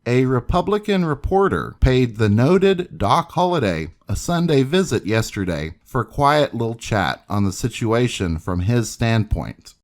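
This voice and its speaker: A man narrating scripted history in a measured, dramatic storytelling manner, as if reading an old newspaper account aloud. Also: medium pitch, sing-song.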